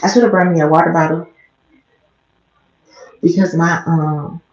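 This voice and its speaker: American woman, deep slightly raspy voice, quiet casual vlog chat, muffled echoey bathroom sound. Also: fairly even intonation, unhurried. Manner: low-key, talking quietly. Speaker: vlogger livestreamer. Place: small bathroom indoors. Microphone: headset mic close to mouth. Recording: muffled, echoey small room.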